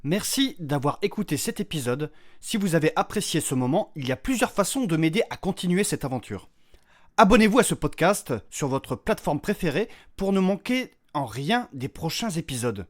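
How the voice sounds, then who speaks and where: A man's voice: fairly high pitch, sing-song, normal speed. A man, the creator of a podcast, reading a scripted outro to listeners.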